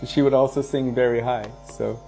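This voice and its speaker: A man leading a devotional program, casually answering viewer questions and sharing anecdotes in a relaxed way, chuckling now and then. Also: medium pitch, moderate pace, faint music behind, rough recording. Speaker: kirtan leader and devotional teacher.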